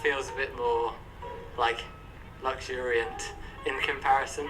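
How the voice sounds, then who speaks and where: A man calmly giving his opinion in a casual street interview. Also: medium pitch, measured pace. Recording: distant mic with music.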